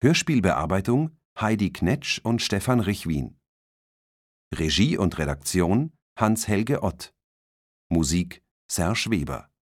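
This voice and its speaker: Male announcer for radio play credits: formal, lively pitch movement, unhurried, dry sound. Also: breathy edge, dry recording. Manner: measured, formal. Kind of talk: scripted broadcast announcement.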